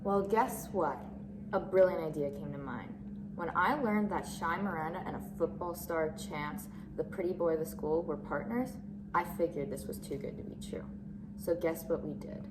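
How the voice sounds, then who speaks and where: A woman's voice: sits low, normal speed, lightly acted. A woman playing a mean, scheming, snobbish teenage cheerleader with a catty, scornful manner in amateur drama. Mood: gloating, catty. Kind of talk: amateur dramatic monologue reading. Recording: echoey room with clear noise.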